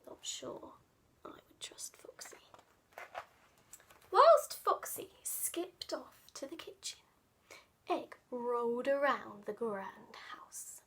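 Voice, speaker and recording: High-pitched woman, slow delivery, dramatic children's storyteller, playful hushed asides. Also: big pitch swings, breathy edge, whispered. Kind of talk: expressive read-aloud of a picture book with playful asides.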